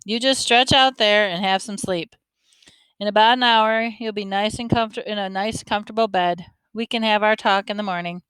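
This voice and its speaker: A chatty grandfatherly man, folksy and fond of teasing, as a woman reads him aloud in a storybook. His voice is smooth and full, and it sounds close and dry with no room around it. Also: medium pitch, fairly even intonation, moderate pace, a bit bright, no room sound, close to an everyday voice, poor audio. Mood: kindly. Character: friendly teasing older man, grandfather, army veteran.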